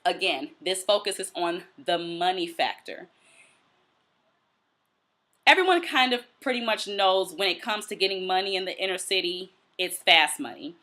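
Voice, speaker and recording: An American woman hosts a reflective solo commentary show. Her voice sits a little low for a woman but has a bright, forceful edge. She explains her points deliberately, as if walking a listener through an argument. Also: animated. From Detroit, United States. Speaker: host of a commentary and book review show.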